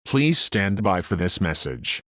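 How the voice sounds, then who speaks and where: A man's voice: low-pitched, sing-song, unhurried, fairly bright tone, faint music behind, heavily acted. A man playing a news anchor covering a disaster live. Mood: earnest.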